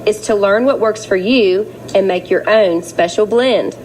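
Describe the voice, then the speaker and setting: A woman's voice: medium pitch, measured pace, a little mellow, a little hiss or hum, natural voice, rough recording. A woman voicing a kind, sparkly female fish, a wise friend giving encouraging advice in a children's storybook.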